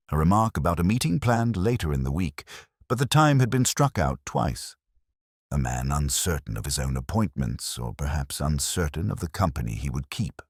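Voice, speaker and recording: A man plays a brooding older detective telling a mystery story in an extremely deep voice. His delivery is measured and literary, with a lively rise and fall, recorded close and dry. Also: husky, no room sound, exaggerated voice. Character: brooding older male police detective narrating his case. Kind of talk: scripted first-person mystery narration.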